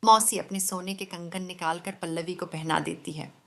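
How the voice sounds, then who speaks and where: A woman's voice: lowish voice, steady tone, moderate pace, creaky, sharp tone. A woman narrating a scripted story as the storyteller.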